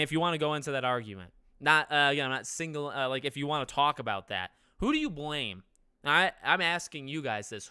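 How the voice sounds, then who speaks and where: A man's voice: mid-range voice, bright, forceful tone, smooth, no room sound, clean studio sound. Nasal young man chatting on stream. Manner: conversational, rambling with restarts, asks chat questions. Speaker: gaming YouTuber streaming host. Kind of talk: casual live-stream chat reading comments. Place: living room with couch behind.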